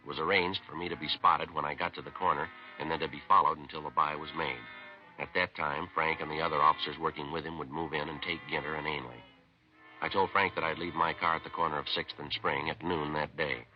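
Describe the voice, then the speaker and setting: A muffled 1950s radio recording of a hard-boiled American police detective. His voice is extremely deep and he talks fast. He is terse, deadpan and matter-of-fact, wasting no words. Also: soft background music. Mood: flat, matter-of-fact. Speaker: lead actor and narrator. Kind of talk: 1950s radio police drama acting and narration.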